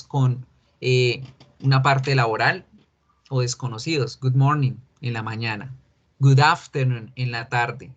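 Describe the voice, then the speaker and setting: A man speaking as an English teacher, explaining a recorded lesson patiently and repeating key words slowly. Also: mid-range voice, dull audio. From Colombia.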